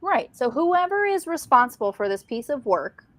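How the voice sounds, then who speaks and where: A woman's voice: high-pitched, sing-song, moderate pace, no room sound. A woman, co-hosting a casual live discussion about books. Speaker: co-host of a book discussion livestream.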